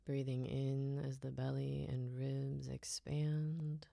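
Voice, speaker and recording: Low, creaky woman guiding slow relaxation. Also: level tone, no echo, studio quality. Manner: soft, soothing, unhurried delivery. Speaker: yoga nidra guide. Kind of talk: guided relaxation meditation.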